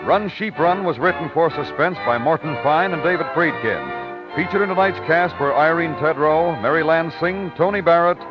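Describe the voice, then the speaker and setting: A man's voice: on the high side, expressive. Dramatic 1950s radio announcer man over music. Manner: formal, measured, dramatic. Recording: dull vintage radio, music behind.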